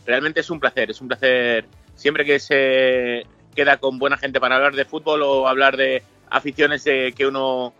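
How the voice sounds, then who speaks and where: Bright-voiced Madrid man, hesitant. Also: medium pitch, level tone, normal speed, no echo, lo-fi sound, soft background music. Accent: Madrid Spanish. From Spain. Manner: hesitant, restarts sentences, many fillers. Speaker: journalist guest. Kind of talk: casual interview.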